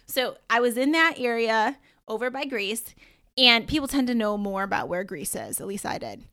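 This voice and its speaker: Casting: upbeat woman podcaster, a bit high, rambling and chatty. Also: animated, normal speed, sharp tone, no room sound, clean studio sound. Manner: chatty, upbeat, full of asides and slang. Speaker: podcast host. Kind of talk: casual solo podcast talk.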